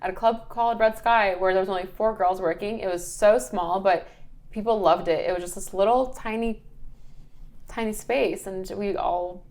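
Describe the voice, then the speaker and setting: A woman's voice: medium pitch, normal speed, a little mellow. A young woman from Ukraine, raised in Philadelphia, telling anecdotes casually and playfully as an interview guest. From Ukraine, raised in Philadelphia from age seven. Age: young adult. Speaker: interview guest, bottle service worker and model. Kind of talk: casual chat, anecdotal storytelling.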